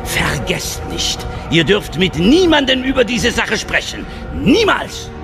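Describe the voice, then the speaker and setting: High, creaky, panicky alien viceroy. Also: male voice, wildly swooping pitch, takes it slowly, music in the background, heavily acted. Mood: anxious, imperious. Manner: agitated, haughty, exclaiming. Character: panicky, imperious male alien viceroy, cowardly and irritable. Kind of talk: audio drama acting.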